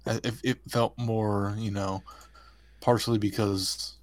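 A man's voice: fairly low pitch, little pitch movement, a little slow, dry recording. A man co-hosting a podcast, giving his opinions in a casual review chat. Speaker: podcast co-host.

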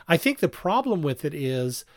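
A man hosting a casual podcast discussion, explaining things in a halting, rambling way and often correcting himself. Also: on the high side, big pitch swings, dry recording, studio quality. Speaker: podcast host.

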